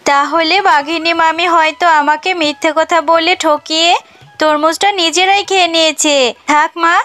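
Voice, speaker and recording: A woman voicing a caring mother jackal in a children's cartoon, affectionate and expressive. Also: very high voice, normal speed. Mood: gentle, concerned. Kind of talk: animated children's cartoon dub.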